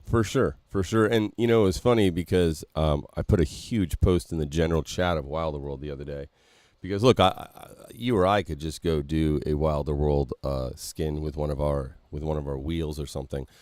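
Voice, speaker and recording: Guy hosting a podcast, super deep voice, lots of ums, dry sound. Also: moderate pace, no echo. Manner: animated, swearing, many fillers. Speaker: podcast host. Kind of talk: casual chat.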